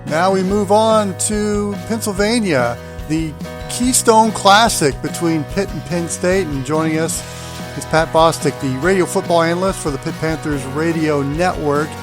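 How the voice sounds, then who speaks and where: Male sports podcast host, middle-aged, slightly high pitch, deliberate announcer delivery, light music bed. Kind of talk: hosted sports interview and read segues.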